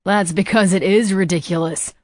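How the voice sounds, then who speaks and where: A woman's voice: medium pitch, fairly even intonation, moderate pace, a little mellow, no echo, lightly acted. A woman voicing a teasing, cocky young man with a slight Southern accent, in an audiobook. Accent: slight Southern US drawl.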